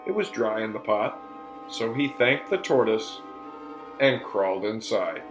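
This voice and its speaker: Guy, middle aged, telling a folktale real deliberate and theatrical, roomy echo, music behind. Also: on the low side, animated. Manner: deliberate, emphatic storytelling delivery. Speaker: storyteller and presenter. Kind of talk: theatrical folktale narration for learners.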